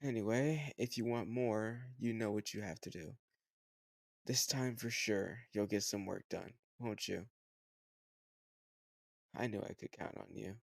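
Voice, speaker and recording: Male actor as slightly older home tutor for romantic audio roleplay: lowish creaky voice, close dry sound, teasing, patient, encouraging. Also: a little slow, long pauses, dry recording. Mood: playful, coaxing. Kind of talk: scripted romantic audio roleplay, addressed to the listener.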